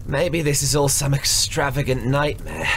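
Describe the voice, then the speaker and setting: An actor playing a resigned traveler in a fantasy audio drama. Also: male voice, medium pitch, moderate pace, crowd noise, lightly acted.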